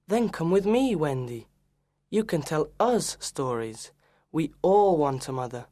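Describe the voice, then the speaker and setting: A woman's voice: low-pitched, animated, a little slow, mellow, voice pushed down. A woman voicing Peter Pan, an eager young boy, in a storybook reading for learners.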